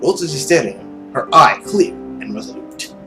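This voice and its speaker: Expressive, theatrical visual novel narrator. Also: medium pitch, normal speed, music in the background. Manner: theatrical reading with casual asides. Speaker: gaming video host.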